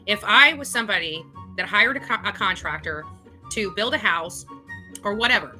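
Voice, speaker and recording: A woman's voice: mid-range voice, lively intonation, normal speed, pushed and bright, faint music behind. A woman speaking off the cuff in an indignant, emphatic, self-justifying way, like a vlog host. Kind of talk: unscripted monologue to camera.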